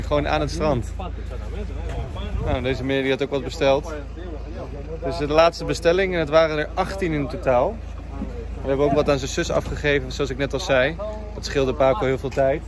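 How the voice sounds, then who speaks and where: A man's voice: fairly high pitch, steady tone, normal speed, long pauses, a crowd in the background. A man in his twenties chatting casually to a handheld phone camera as a vlogger, in a restaurant kitchen and along a seaside street. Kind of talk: casual vlog chat. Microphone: phone camera at arm's length.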